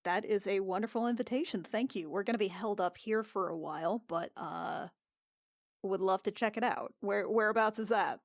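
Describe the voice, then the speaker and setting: A woman improvises in-character dialogue as a player in a tabletop game. She is polite and hesitant, with a mid-range pitch and very dry, close sound. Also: moderate pace, no echo.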